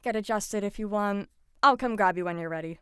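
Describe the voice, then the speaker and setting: A woman's voice: medium pitch, brisk, fairly bright tone, clean tone, dry recording, studio quality, natural voice. A woman playing a friendly, slightly awkward patient supervisor in an audio drama, talking in an eager, reassuring way.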